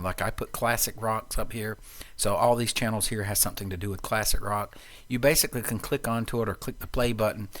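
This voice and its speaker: A man narrating a software tutorial in a casual, plain-spoken way, explaining things step by step. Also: lowish voice.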